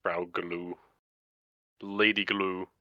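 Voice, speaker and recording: A man with a bright, forceful voice, chatting loosely over a game. He rambles, swears freely and leaves sentences hanging. His voice sits a little low and sounds close and dry. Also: level tone, very slow, dry recording, muffled recording. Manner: rambling, swears often, trails off mid-sentence. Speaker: let's play co-host. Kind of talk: casual gaming chat.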